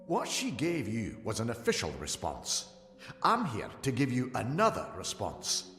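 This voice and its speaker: An actor playing a discreet, conspiratorial political aide in a fantasy game, formal and insinuating. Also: male voice, mid-range voice, huge pitch swings, a little slow, a bit bright, heavily acted. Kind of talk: scripted fantasy game dialogue. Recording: echoey hall, music behind.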